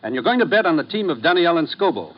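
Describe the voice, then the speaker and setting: An actor playing an authoritative commissioner briefing his agent in a 1950s radio drama, crisp and businesslike. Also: fairly high pitch, sing-song, racing, muffled recording. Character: brisk authoritative male commissioner giving orders.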